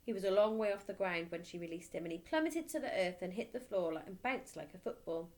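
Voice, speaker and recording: A woman teacher reading a children's storybook aloud with expressive narration. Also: mid-range voice, fast talker.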